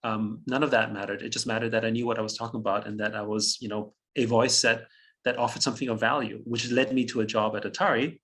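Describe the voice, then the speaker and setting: A man's voice: lowish voice, level tone, fast, fairly bright tone. A German-speaking man in his fifties from Austria, a guest on an interview, telling his story reflectively, chuckling often and restarting phrases.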